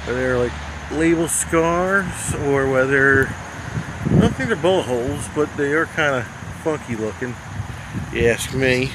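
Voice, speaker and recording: A man's voice: medium pitch, unhurried, heavy background noise. Chatty man filming on a phone outdoors. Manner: chatty, wry asides to the viewer. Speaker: vlogger exploring abandoned places. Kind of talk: casual walking commentary. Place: outdoors around an empty building, later in a car. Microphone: handheld phone camera.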